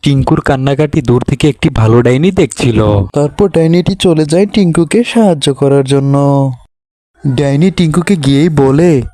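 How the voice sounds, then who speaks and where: A man's voice: fairly high pitch, normal speed, mellow, dry recording. A man narrating a scripted children's story. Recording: music behind the voice.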